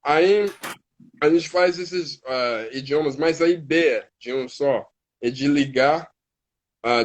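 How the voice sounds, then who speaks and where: A man's voice: a little high, muffled recording. A man with an American accent, a native English speaker, talking as a guest on a live stream and often restarting words as he searches for them. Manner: halting, restarts words while searching in Portuguese. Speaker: guest, hip hop artist. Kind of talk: casual live-stream conversation.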